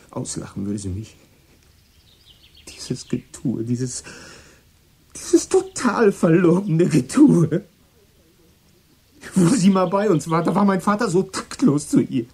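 Husky, troubled teenage boy. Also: a little high, big pitch swings, mellow, exaggerated voice. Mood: bitter, agitated. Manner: halting, agitated, repeats words. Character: troubled, guilt-ridden sixteen-year-old American boy confessing. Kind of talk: German radio drama acting.

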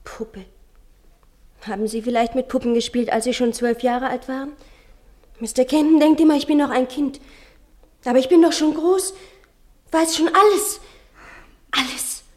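An actress playing a clingy, jealous twelve-year-old girl in a 1950s German radio play, intense and breathy, often dropping to whispers. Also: high voice, steady tone, normal speed, long pauses, darkish tone, lightly acted. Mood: resentful, eager.

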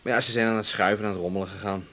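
An actor playing an evasive, gently ironic male partner with a casual manner, in a radio drama. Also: fairly low pitch, fairly even intonation, rapid-fire, lightly acted. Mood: apologetic, casual. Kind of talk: naturalistic 1980s radio drama acting.

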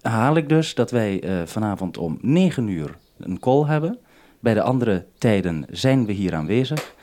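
Low-voiced man, halting radio host. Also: very animated, mellow. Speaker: radio programme maker and host. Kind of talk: unscripted two-way radio conversation.

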